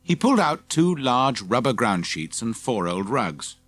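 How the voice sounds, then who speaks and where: A man's voice: mid-range voice, big pitch swings, measured pace, slight background noise. A man reading scripted children's story narration as an audiobook narrator.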